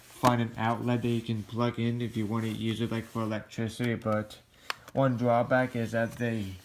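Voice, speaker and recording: A man's voice: mid-range voice, flat delivery, normal speed, slight background noise. A man casually reviewing gadgets for a hobby video in a hesitant, rambling, plain-spoken way. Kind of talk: casual product review to camera.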